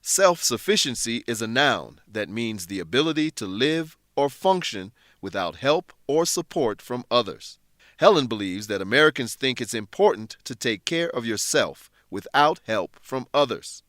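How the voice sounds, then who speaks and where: A man presents a scripted English lesson in a deliberate, instructive manner. His mid-pitched voice moves up and down in a lively way, with a very dry sound. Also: no room sound. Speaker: lesson narrator, English teacher. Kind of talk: scripted educational narration.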